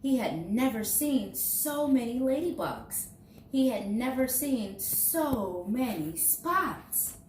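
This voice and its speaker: In a roomy, echoing space, a woman a little away from the mic reads a children's storybook aloud. Her pitch moves in lively swings as she stresses key words, and she takes her time over each line. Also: slow pace. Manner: expressive, dramatizing key words for young listeners. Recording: roomy echo, distant mic, faint noise.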